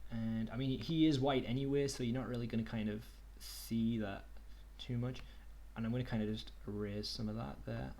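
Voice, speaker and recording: Brief: young male tutor, mid-pitched, easygoing and chatty, webcam video call. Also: moderate pace, hardly any pauses, slight background noise. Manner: relaxed, chatty, says "okie dokie" and "kind of". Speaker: online workshop tutor. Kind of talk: casual screen-share tutorial.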